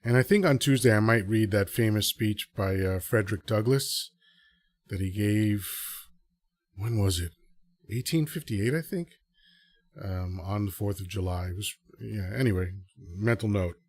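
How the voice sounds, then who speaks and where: A man's voice: on the low side, measured pace. A man from the Dominican Republic hosting a live podcast, talking through his thoughts in a rambling, earnest, self-correcting way. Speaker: podcast host. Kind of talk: casual solo commentary to a live audience.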